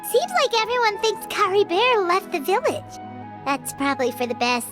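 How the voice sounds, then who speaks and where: A woman voicing a tiny, childlike, chatty fairy companion in a video game, expressive and curious. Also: extremely high pitch, moderate pace, music in the background. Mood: curious, concerned. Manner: refers to herself in third person, expressive.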